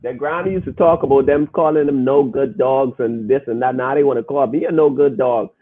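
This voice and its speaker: A man's voice: medium pitch, steady tone, brisk. A man hosting a podcast, talking emphatically and preaching directly to his listeners as he reacts to their comments. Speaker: podcast host. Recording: phone-like, narrow band.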